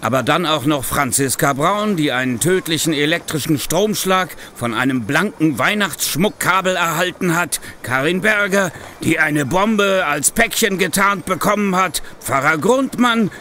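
A gruff chief inspector in a radio play. His fairly high male voice swings expressively, sarcastic and insistent, with faint street noise behind it. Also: expressive, moderate pace, bright, forceful tone. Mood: grim, certain. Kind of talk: German audio drama acting.

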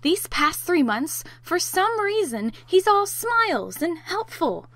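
Woman acting a bright, high-pitched exasperated young woman. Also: very animated, takes it slowly, dry recording, heavily acted. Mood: irritated. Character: exasperated young woman, mayor's daughter, guarded about boys. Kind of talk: fan audio drama acting.

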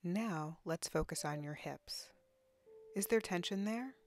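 Slow, low-pitched woman guiding relaxation. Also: darkish tone. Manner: soothing, unhurried, instructional, with long pauses. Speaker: guided relaxation narrator, counselor. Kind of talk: guided body scan meditation.

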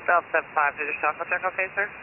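A man's voice: a little high, steady tone, rapid-fire. Hissy shortwave male air traffic radio operator. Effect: HF radio transmission. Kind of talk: aviation radio phraseology. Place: received over long-distance HF radio. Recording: narrow-band, hissy shortwave radio reception. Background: loud static hiss.

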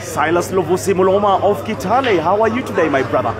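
A man's voice: high voice, expressive, fast. A man with a Kenyan accent giving chatty live commentary on a pool match for a stream, greeting viewers by name between shots. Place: pool tournament venue in Nairobi. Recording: loud crowd noise all around. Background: loud crowd.

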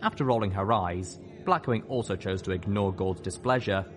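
A man's voice: low voice, normal speed, slight background noise. A man reading a scripted first-person story as its narrator. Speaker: narrator and host.

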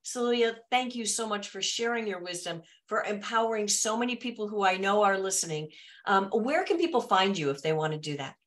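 A woman's voice: medium pitch, animated, a little fast. Encouraging woman hosting a video-call podcast. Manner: warm and encouraging. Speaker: podcast host. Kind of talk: casual interview talk. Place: home office with bookshelves.

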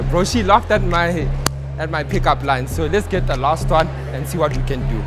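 A man in his thirties with a South African accent, hosting a playful prank vlog in a shopping mall. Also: a little high. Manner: cheeky, playful, hyped when talking to camera. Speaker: vlogger host. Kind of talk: prank pickup-line vlog. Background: mall background music.

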